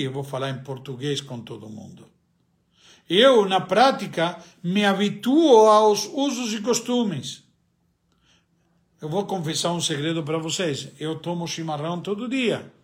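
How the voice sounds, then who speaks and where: A man's voice: a little high, animated, unhurried. A man with an Argentine accent, a native Spanish speaker, gives a religious lesson, asking and answering his own questions with frequent hesitations. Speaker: rabbi teaching the weekly Torah portion. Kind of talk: religious teaching talk.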